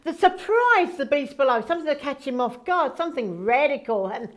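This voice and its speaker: Giggly female angel sidekick played by a woman, high-pitched, lively melodic swings, theatrical comedy playing. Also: fairly quick. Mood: conspiratorial. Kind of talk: stage comedy play acting.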